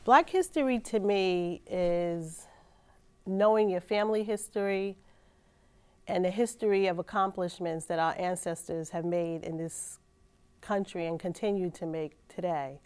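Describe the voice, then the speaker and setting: A woman's voice: on the low side, a little slow, clear, resonant tone. A woman, a community resources coordinator, speaking reflectively and encouragingly in an interview. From New York.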